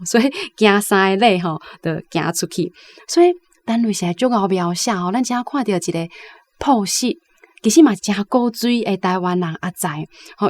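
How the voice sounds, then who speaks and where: Female radio commentator explaining a story, Taiwanese accent, mid-range voice, chatty phrasing with frequent tag particles. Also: mid-range voice. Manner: conversational, frequent sentence-ending particles. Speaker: literary commentator.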